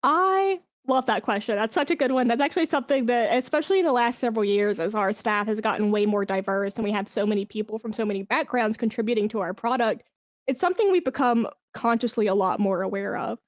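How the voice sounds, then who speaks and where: Interview guest: woman with a fairly high voice, enthusiastic, informal, conversational, recorded very dry. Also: animated, quick pace, no echo. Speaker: interview guest, esports brand manager. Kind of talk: casual interview chat.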